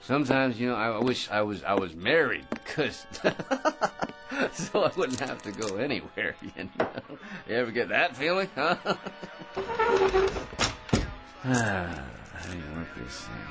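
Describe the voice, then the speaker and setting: An actor in a radio drama playing a boring, tipsy barfly who rambles, stammers and chuckles to himself. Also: male voice, lowish voice, very animated, measured pace, music in the background. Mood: chatty, bored.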